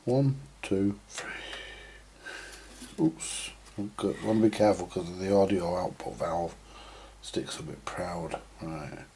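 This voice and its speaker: A man with a husky voice, giving informal, unscripted hands-on commentary as he mutters through a repair, now and then mildly sweary. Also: deep voice, takes it slowly, pauses often, warm tone, a little hiss or hum. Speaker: host of a vintage radio repair video.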